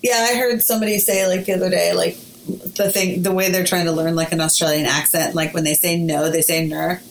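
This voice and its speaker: A woman's voice: fairly low pitch, steady tone, brisk, slight background noise, low-quality recording. A woman chatting casually as a podcast co-host, giggly and teasing. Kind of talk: casual chat.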